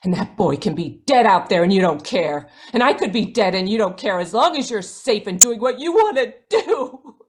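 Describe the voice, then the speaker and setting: A woman playing a frightened, bitter middle-aged wife in an old-time radio drama, intense and pleading, with a husky voice. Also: mid-range voice, sing-song, normal speed, few pauses, slight background noise, close to an everyday voice. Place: indoors, live video call performance.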